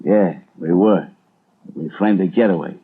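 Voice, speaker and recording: An actor playing a tough escaped convict in a 1930s radio drama, blunt, slangy and threatening. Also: male voice, deep voice, a little slow. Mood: menacing. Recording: muffled, noisy 1930s radio recording.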